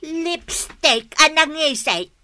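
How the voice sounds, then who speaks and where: A woman's voice: high voice, expressive, slow pace, sharp tone, heavily acted. A woman playing a pushy, grasping aunt in a radio drama, whiny and insistent, with an Ilocano accent from the northern Philippines. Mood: greedy, insistent. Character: pushy, grasping aunt angling for package gifts.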